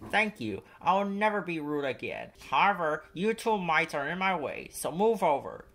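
A man's voice: fairly high pitch, very animated, moderate pace, fairly bright tone, voice pushed up, heavily acted. A man voicing a bossy, grumpy crane in a children's storybook, scornful and emphatic. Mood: grudging. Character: bossy, grumpy, complaining male dockside crane.

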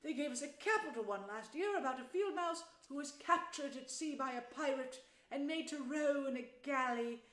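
A woman voicing a gentle male mole from a children's story, earnest, eager and emotional. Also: sits high, normal speed, hardly any pauses, reverberant, pitched above the performer's own voice. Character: gentle male mole, proud, eager, easily distressed host. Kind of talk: children's storybook character reading.

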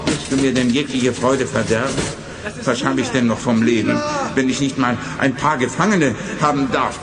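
An actor playing a wheedling, dismissive villain in a theatrical 1980s audio drama. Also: male voice, mid-range voice, fairly even intonation, normal speed, over loud music, lightly acted. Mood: whining, pleading. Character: whining, dismissive male villain who hoards prisoners. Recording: echoey hall effect.

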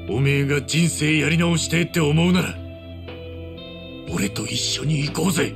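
An actor playing a loyal, earnest street-tough man in a game cutscene, speaking roughly and from the heart. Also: fairly high pitch, moderate pace, music in the background. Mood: heartfelt, urging. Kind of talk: dramatic game cutscene acting.

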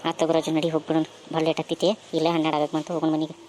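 A woman's voice: low-pitched, completely flat delivery, racing, crowd noise, close to an everyday voice. A woman voicing a timid, easily frightened young male traveller in an amateur cartoon, with an anxious manner and a North Karnataka Kannada accent. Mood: uneasy.

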